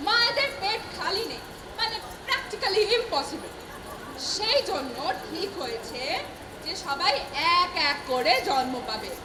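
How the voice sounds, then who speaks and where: A woman acts a grasping female politician of the ghost world in a stage comedy. Her voice is very high, and she declaims and wheedles. The sound is echoey, with noise and a crowd behind her. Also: expressive, slow pace, full character voice. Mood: declamatory. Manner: declamatory, wheedling, rabble-rousing.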